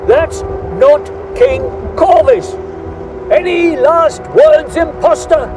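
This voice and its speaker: A woman's voice: very high-pitched, animated, slow, noisy background, heavily acted. A woman playing a hostile military commander barking orders in a fantasy audio drama. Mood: defiant. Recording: music bed behind voice.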